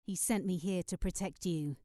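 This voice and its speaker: A woman's voice: on the low side, moderate pace, dark tone, no room sound, clean studio sound, close to an everyday voice. An actress playing a king's dutiful young warrior daughter in a game cutscene, respectful and urgent in manner. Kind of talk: dramatic video game cutscene acting.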